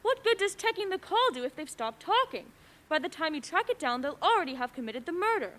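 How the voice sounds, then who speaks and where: A woman's voice: very high voice, sing-song, a little fast, a bit bright, full character voice. A woman playing a fretful, haughty bedridden wife in a suspense radio play, agitated and insistent. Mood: indignant, demanding.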